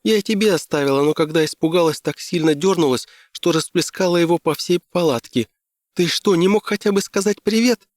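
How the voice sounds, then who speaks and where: A man's voice: a little high, a little fast, no room sound, studio quality, pitched above the performer's own voice, lightly acted. A man voicing an anxious woman trekking client in an audiobook story. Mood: apologetic, flustered. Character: anxious young woman client on an Antarctic trek.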